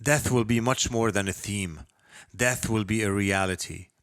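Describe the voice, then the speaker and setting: A man from Lebanon reading his own short story as a first-person narrator, with expressive, dramatic delivery. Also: on the low side, normal speed, no echo.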